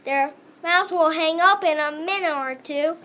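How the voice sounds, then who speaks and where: Child playing a high-voiced scheming storybook grump. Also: unhurried, bright, forceful tone, exaggerated voice. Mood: gloating. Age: child performer. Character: sly, gleeful, mean green grump creature.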